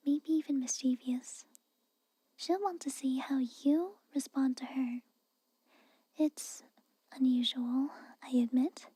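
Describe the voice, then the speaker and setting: Casting: female performer as tsundere castle maid, soft breathy whisper, slow delivery, very mellow, grudging and secretly caring, ASMR roleplay. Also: high voice, no echo. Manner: hesitant, halting, grudging, cautioning. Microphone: close microphone.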